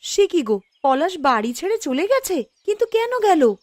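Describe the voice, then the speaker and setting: Woman acting high, animated young village woman. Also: normal speed, no room sound. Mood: surprised. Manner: expressive, questioning, scolding. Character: lively young village woman, indignant and outspoken. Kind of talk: cartoon folk-tale acting.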